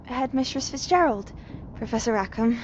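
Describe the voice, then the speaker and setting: A woman's voice: a little high, expressive, moderate pace, lo-fi sound, a little hiss or hum, natural voice. An actress playing an earnest, polite teenage witch student in a fantasy game. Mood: earnest, curious. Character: earnest, respectful teenage witch student, curious about magic. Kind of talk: dramatic game cutscene acting.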